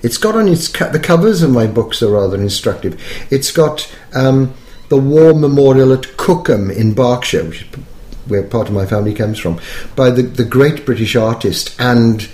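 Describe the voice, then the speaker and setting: A middle-aged or older man with an educated Welsh accent, a poet reflecting in an interview in a thoughtful, halting, self-correcting way. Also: mid-range voice, lively intonation, darkish tone. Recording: echoey room.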